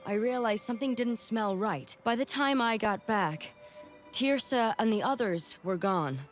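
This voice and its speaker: A woman's voice: a little high, unhurried, faint music behind, lightly acted. An actress playing a tough, stern village defender and mother in a family adventure game, firm and dryly comic. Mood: stern, frustrated.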